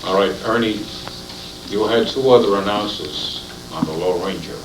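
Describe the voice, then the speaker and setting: Low-pitched male in casual interview talk, off-mic on a noisy, echoey tape. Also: normal speed, far from the mic. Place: radio convention, away from the microphone.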